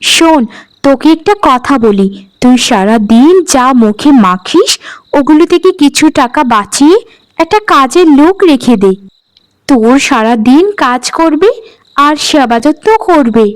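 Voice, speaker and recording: A woman voicing a scolding, bitter elder co-wife in an animated folk tale, with a melodramatic delivery. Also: high voice, measured pace, dry recording. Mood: scolding, irritated.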